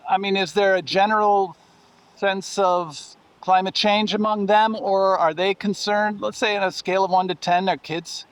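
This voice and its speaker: A man's voice: high voice, fairly even intonation, normal speed. A man interviewing someone casually, feeling his way through questions and rephrasing as he goes.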